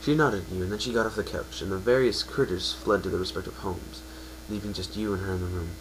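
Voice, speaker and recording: A man with a low voice reads a story aloud as narrator, addressing the listener as the hero. His delivery is an amateur dramatic reading with occasional self-corrections, recorded at home over a faint hum. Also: steady tone, fast.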